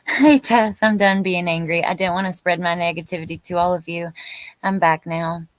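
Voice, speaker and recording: Woman, thirties, slightly deep voice, livestream host, informal and exclamatory, close dry sound. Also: fairly even intonation, moderate pace, no echo. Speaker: live stream host. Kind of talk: casual chat with live viewers, reading out comments.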